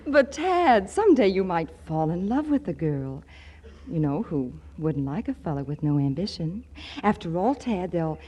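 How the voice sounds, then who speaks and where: A woman's voice: deep voice, dark tone, breathy edge, muffled recording. An actress playing a flirtatious, coaxing big-city woman in a 1940s radio comedy, with a theatrical, teasing delivery. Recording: noisy 1940s radio broadcast recording.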